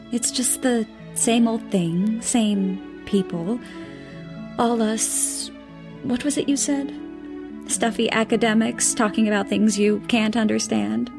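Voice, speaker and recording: A woman's voice: medium pitch, gravelly. An actress playing a teasing, self-assured woman scientist in an audio drama, breezy and sardonic. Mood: apologetic. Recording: music under the voice.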